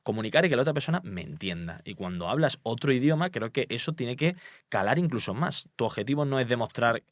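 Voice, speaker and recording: A man's voice: medium pitch, expressive, fast, no room sound, clean studio sound. A young man from Málaga with a light Andalusian accent, speaking as an emphatic, confident guest in a podcast interview. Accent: light Andalusian Spanish. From Málaga, Spain. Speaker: public speaking expert guest.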